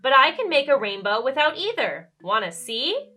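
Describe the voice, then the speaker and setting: An eager, proud storybook girl, acted out by a woman reader, keen to explain what she knows. The voice is high and bright, swinging up and down over light music. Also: sing-song, moderate pace, full character voice. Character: excited, knowledgeable girl explaining rainbows to a friend. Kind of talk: children's storybook character voice.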